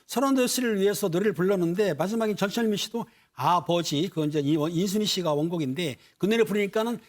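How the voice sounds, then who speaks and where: A man's voice: high voice, fast talker. A man giving explanatory news commentary as a studio panelist.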